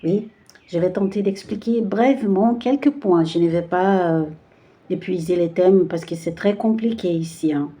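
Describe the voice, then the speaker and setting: Woman, Brazilian Portuguese accent, warm mellow tone, hesitant with fillers, casual card reading. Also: on the low side, moderate pace. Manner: hesitant, searching for words with many fillers. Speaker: tarot card reader.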